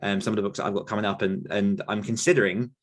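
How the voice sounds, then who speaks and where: Man, low voice, podcast host, casual, rambling, many restarts. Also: fairly even intonation, fast talker. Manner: loose, rambling with frequent restarts and fillers. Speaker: podcast host and author coach. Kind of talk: casual conversational podcast chat.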